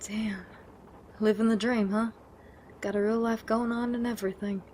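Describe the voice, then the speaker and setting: A woman's voice: on the high side, fairly even intonation, moderate pace, darkish tone, a little hiss or hum, close to an everyday voice. A woman playing a bitter, guilt-ridden, foul-mouthed woman in an audio drama, blunt and defensive in manner. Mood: subdued, wry.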